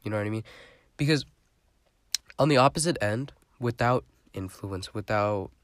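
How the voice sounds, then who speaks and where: A man's voice: on the low side, moderate pace, no echo, clean studio sound. A young man hosting his own podcast, talking in a rambling, chatty way with a stuffy, congested voice. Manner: rambling, chatty, lots of fillers. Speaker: podcast host. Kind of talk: casual solo podcast monologue.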